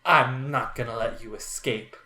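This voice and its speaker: A woman's voice: extremely low pitch, normal speed, a loud crowd. A woman voicing a menacing, seductive prima donna who gives teasing orders in an amateur dramatic reading. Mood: sinister. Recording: roomy echo with faint music.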